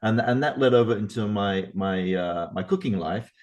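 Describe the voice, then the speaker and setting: A man's voice: fairly low pitch, moderate pace, a little mellow, highs cut off. A man, an experienced chef, speaking earnestly, often repeating words and checking in with "right?". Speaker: executive chef. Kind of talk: casual panel talk.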